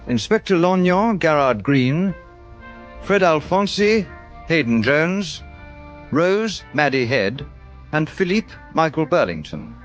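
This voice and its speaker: A male radio announcer reading closing credits in a slow, measured, formal manner. His voice is fairly high with lively intonation. The sound is dull and lo-fi, with faint music underneath.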